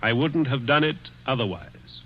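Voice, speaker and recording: A man's voice: medium pitch, normal speed, close to an everyday voice. An actor playing a cruel, dying rich man who taunts with sly chuckles in a 1940s radio drama. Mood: menacing. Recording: dull old radio broadcast.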